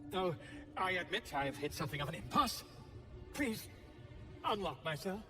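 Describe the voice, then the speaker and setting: An actor playing a pompous, theatrical, wheedling author in a video game, florid and grandiose. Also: male voice, high voice, lively intonation, moderate pace, sharp tone, long reverb tail, distant mic, soft background music. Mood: ingratiating.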